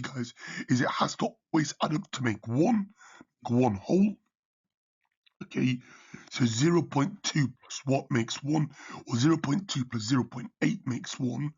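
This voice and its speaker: A man working through a maths exam question as a tutor, his voice croaky from partly losing it, peppering his talk with "okay" and "yeah". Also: mid-range voice, darkish tone, highs cut off. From United Kingdom. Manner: repeats "okay" and "yeah" often. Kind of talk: exam revision walkthrough.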